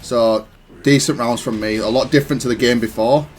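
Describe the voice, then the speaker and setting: A man giving casual, analytical commentary on his own gameplay, self-critical but matter-of-fact and even-tempered. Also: medium pitch, brisk, faint music behind. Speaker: gaming content creator commentating on own matches.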